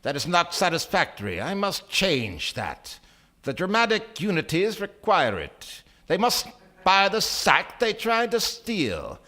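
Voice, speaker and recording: A man playing a bright, oratorical gentleman speculator. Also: high-pitched, huge pitch swings, a little slow, full character voice. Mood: musing, confident. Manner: formal, oratorical. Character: sly, self-assured gentleman stranger, a speculator in rarities. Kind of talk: stage radio drama acting.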